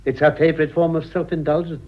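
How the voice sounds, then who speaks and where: An actor playing an older, worldly English gentleman and scheming father in a British radio drama, dryly amused and urbane. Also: on the high side, level tone, fast talker, lo-fi sound, natural voice. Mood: wry, worldly. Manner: dry, drawling, amused.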